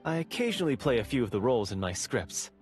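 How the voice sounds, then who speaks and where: A man's voice: mid-range voice, big pitch swings, fast, faint music behind, natural voice. A man voicing a polite, welcoming male script manager in a game. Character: courteous male script manager, affable host. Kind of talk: game cutscene voice acting.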